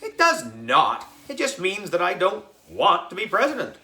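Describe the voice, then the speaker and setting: A man's voice: high-pitched, wildly swooping pitch, normal speed, pushed and bright, soft background music, voice pushed up, exaggerated voice. A man voicing a stubborn, exasperated third-grade boy in a children's storybook, blurting and indignant.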